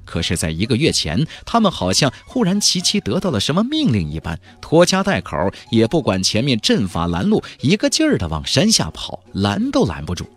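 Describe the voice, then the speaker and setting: Male audiobook narrator, very animated delivery with a husky edge, faint music underneath. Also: medium pitch. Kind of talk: dramatic scripted narration of a fantasy novel.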